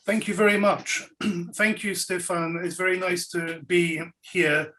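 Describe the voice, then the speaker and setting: Man, raised in Belgium, French native speaker, fairly high voice, wry measured talk, video call from home. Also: steady tone, pushed and bright. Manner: wry, measured, clears his throat. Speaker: guest speaker, scholar and writer. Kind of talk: relaxed lecture-style talk. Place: home, indoors.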